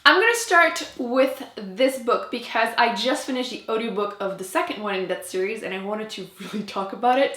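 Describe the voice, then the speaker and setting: A woman's voice: a little high, fairly bright tone, echoey room. A French Canadian woman, a native French speaker, chatting casually and emphatically to camera as a book reviewer.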